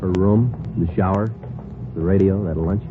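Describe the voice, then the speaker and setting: A man playing a terse, businesslike police sergeant in a 1950s radio drama, clipped and deadpan. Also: sits low, moderate pace, background noise, close to an everyday voice. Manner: clipped, deadpan, matter-of-fact. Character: terse, businesslike male police sergeant narrating his case. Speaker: lead police detective and narrator. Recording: dull vintage radio recording with loud music.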